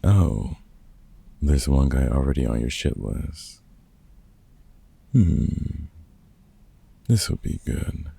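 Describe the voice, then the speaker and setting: A man playing a gruff but kind-hearted veteran vampire hunter in a comfort audio roleplay, talking casually and swearing freely in a gravelly, husky voice. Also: very deep voice, very animated, mellow, dry recording, exaggerated voice. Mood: warm, wry. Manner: gruff, sweary, casual mentor talk with pauses.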